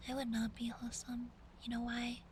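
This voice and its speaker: A woman with a high voice whispers breathily as she chats in a casual ASMR style. She is playful and self-deprecating. Ambient noise is clearly audible behind her. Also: monotone, a little fast. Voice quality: whispery, breathy soft speech. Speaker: ASMR streamer. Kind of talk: ASMR, casual chat with listeners.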